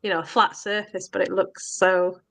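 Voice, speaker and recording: A woman's voice: on the low side, flat delivery, normal speed, a bit bright, no room sound. A woman co-hosts a casual live chat, her voice nasal and thick with a cold. She laughs often and talks in a loose, friendly way between remarks. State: stuffy with a cold. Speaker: co-host.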